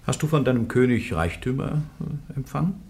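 A man's voice: medium pitch, animated, moderate pace, vocal fry, a little mellow. A man acting an insistent, learned church interrogator in a German radio play, urging and pressing. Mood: probing.

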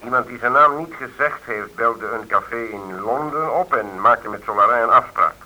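An actor playing a composed, commanding police official heard over the telephone in a radio play. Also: male voice, medium pitch, normal speed, pushed and bright. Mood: composed, authoritative. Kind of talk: Dutch radio play acting. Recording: dull lo-fi telephone line.

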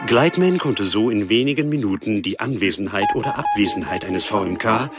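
An actor playing a formal, matter-of-fact narrator reading a scientific report in a radio drama. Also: male voice, lowish voice, expressive, fairly quick, music in the background, lightly acted. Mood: sober, factual. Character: sober, factual male narrator of a scientific report.

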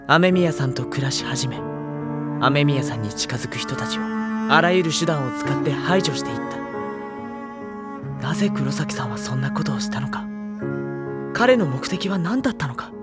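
A sensitive schoolboy, voiced by a man, narrates his inner thoughts in a radio play. His mid-range voice is measured and literary, pausing between phrases, over prominent instrumental music. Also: medium pitch, lightly acted. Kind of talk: Japanese audio drama first-person narration. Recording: loud instrumental music behind the voice.

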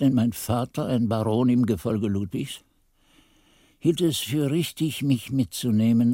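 An actor playing an aged, frail monk who solemnly recounts his youth in a radio drama, husky and measured, with phrases falling away to a hush. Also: mid-range voice, natural voice. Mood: reminiscent, solemn. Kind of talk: radio drama narration, archaic literary chronicle.

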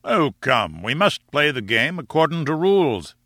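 A man voicing an aristocratic, sporting gentleman adventurer in a storybook, jaunty and unflappable, using upper-class slang. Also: fairly high pitch, big pitch swings, moderate pace, dry recording, studio quality, pitched above the performer's own voice. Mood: breezy.

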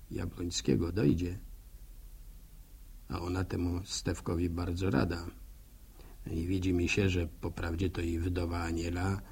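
Village philosopher, middle-aged, gravelly and unhurried, folksy radio drama monologue. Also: male voice, low voice, darkish tone, exaggerated voice. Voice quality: gravelly, husky. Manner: rustic, musing, drawn-out, hesitant repetitions. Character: sympathetic, grumbling village countryman philosophising to himself. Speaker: narrator character in a radio serial.

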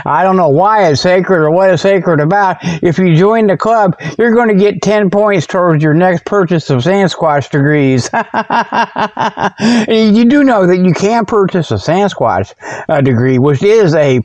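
A man with a fairly high voice hosts a comic mock report in a close, dry sound. He talks in a folksy, wandering way, circling back and repeating himself as he goes. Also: animated, a little slow, a little mellow, no echo, highs cut off. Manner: folksy, digressive, repeats and backtracks. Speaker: host of a comedy report show. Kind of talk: rambling mock-report monologue.

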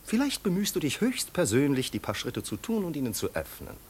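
An actor playing a breezy, imperious marquis in a 1950s radio play, flippant and bossy, on a noisy old recording. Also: male voice, a little high, huge pitch swings, brisk. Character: breezy, imperious, wealthy marquis, teasing his servant.

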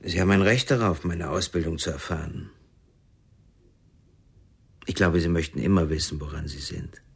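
An unflappable psychologist in a radio crime play. His voice is low and rough-edged, and he speaks with measured formality, probing and parrying. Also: exaggerated voice. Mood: composed, probing. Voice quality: husky. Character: unflappable, probing male psychologist turned counsellor. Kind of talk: German radio crime drama acting.

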